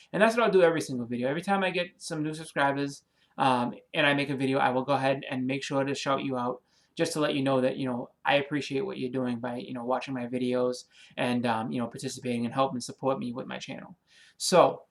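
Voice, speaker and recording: A man's voice: mid-range voice, fairly bright tone. Rambling Boston man, many ums. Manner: rambling, many ums and you-knows, restarts; friendly, apologetic. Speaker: comic and movie YouTuber. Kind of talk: casual vlog, thanking subscribers.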